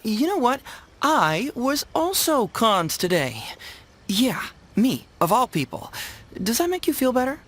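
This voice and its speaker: A man voicing a witty, smooth-talking young detective in a video game, articulate and theatrical. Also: very high voice, very animated, unhurried, a bit bright, a little hiss or hum, heavily acted. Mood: confiding.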